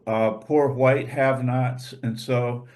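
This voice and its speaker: A man explaining points in a measured way during an informal group discussion. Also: medium pitch, steady tone, a little mellow. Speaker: church discussion participant.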